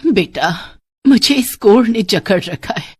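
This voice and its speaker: A woman's voice: mid-range voice, animated, slow, breathy edge, dry recording. Woman playing a plaintive old village woman. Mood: pleading. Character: suffering elderly woman, pleading and grateful.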